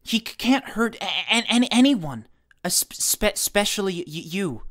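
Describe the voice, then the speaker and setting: A man acts out a soothing young cartoon character who stutters heavily. His delivery is slow and gentle, with a lively range of pitch. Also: exaggerated voice. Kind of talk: dramatic comic dub acting.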